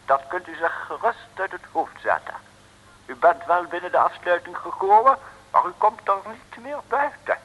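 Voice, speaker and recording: An actor playing a haughty, threatening, crooked mayor in an old Dutch radio play, speaking pompously and formally. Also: male voice, high-pitched, animated, normal speed, lo-fi sound. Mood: mocking, menacing. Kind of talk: 1950s-60s Dutch radio play acting. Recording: old radio recording, reverberant hall.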